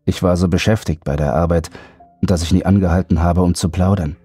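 Horror audiobook narrator, male, very low and dark mellow voice, faint music underneath. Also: fairly even intonation, brisk, no echo. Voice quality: deep, husky, mellow. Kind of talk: scripted first-person horror narration.

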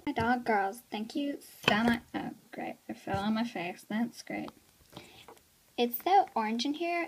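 A woman's voice: on the high side, a little hiss or hum, poor audio. A girl chatting through blooper outtakes of her toy skit, rambling and self-mocking.

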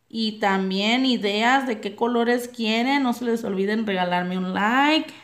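A young woman with a slightly high voice talks viewers through her nail work at home. Her Spanish is not her first language, and her manner is chatty and friendly, calling her audience girls. The sound comes from a phone over her hands. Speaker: nail artist. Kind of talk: nail tutorial narration. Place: home nail table, dogs barking outside.

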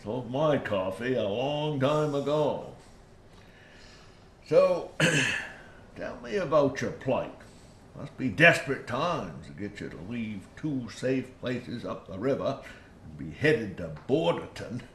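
An actor playing a wary, folksy old lighthouse keeper in an audio drama, gruff and drawling. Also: male voice, mid-range voice, big pitch swings, takes it slowly, a little hiss or hum. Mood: folksy, relaxed. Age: older.

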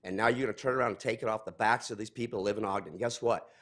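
A man's voice: lowish voice, steady tone, very fast, fairly bright tone. Man, 40s, heated, accusing, emphatic, podium mic, council chamber. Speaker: public commenter. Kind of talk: angry public comment.

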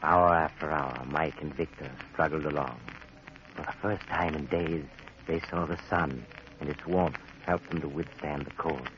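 An actor playing a sinister, wry doctor who narrates macabre tales in theatrical 1940s radio style. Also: male voice, extremely low pitch, steady tone, moderate pace. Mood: grave. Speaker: narrator, host. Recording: muffled, very noisy 1940s radio recording.

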